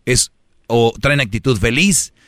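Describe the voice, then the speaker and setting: A man's voice: mid-range voice, takes it slowly, dry recording, clean studio sound. A man hosting a radio show with casual, opinionated, slangy comedic talk.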